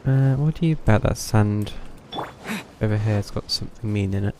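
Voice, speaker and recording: A man's voice: lowish voice, moderate pace, dark tone, slight background noise. A man giving casual live gameplay commentary, muttering and thinking aloud to himself. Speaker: game streamer.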